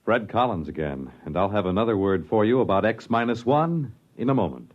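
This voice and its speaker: A man's voice: fairly low pitch, lively intonation, brisk. A man reading scripted announcements in the style of 1950s network radio. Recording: dull 1950s radio broadcast, faint music.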